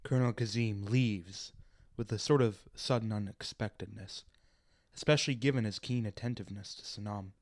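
A man's voice: fairly low pitch, normal speed, no room sound, studio quality. A man giving scripted narration, reading stage directions as the narrator of a radio play.

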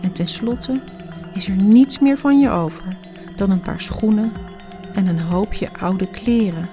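A woman's voice: mid-range voice, lively intonation, moderate pace, darkish tone, faint music behind, close to an everyday voice. A woman voicing a mean, gloating husband in a children's storybook, in a dramatic, taunting way. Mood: gleeful menace. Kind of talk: children's storybook read-aloud.

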